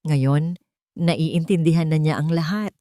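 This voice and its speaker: A woman's voice: low-pitched, measured pace, dark tone, no room sound, studio quality. A woman reading a scripted, dramatic love story as its narrator.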